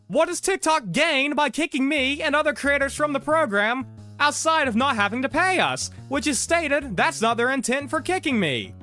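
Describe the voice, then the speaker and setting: A man ranting sarcastically about his frustrations, his voice hoarse from being sick. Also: very high voice, pushed and bright. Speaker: content creator. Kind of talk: ranting commentary video.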